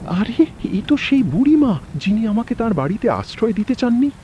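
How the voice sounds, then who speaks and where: A man's voice: sits high, sing-song, moderate pace, warm tone, dull audio, a little hiss or hum. A man voicing a poor, kind-hearted village traveller in a cartoon moral story, polite and theatrical in delivery. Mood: surprised.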